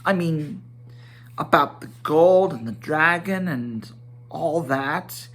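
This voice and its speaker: A man's voice: a little high, takes it slowly, fairly bright tone, heavily acted. A man voicing a nervous but stubborn hobbit in a storybook, prim and businesslike. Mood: flustered, determined. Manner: prim, putting on a businesslike air. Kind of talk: storybook character voice.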